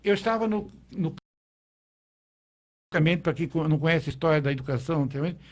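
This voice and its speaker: A middle-aged Brazilian man, a teacher, recalling his past in a relaxed interview, with frequent restarts and tag questions. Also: a little high, brisk. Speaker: guest, teacher. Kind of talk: conversational interview.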